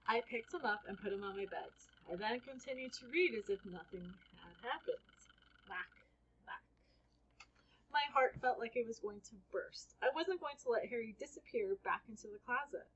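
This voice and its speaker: A woman reading a children's book aloud as an expressive first-person storyteller. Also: on the high side, moderate pace, lo-fi sound, a little hiss or hum.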